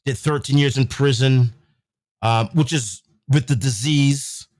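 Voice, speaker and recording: A young man from England chatting casually as a podcast host, telling stories at an unhurried pace. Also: mid-range voice, darkish tone, no echo. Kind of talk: casual chat.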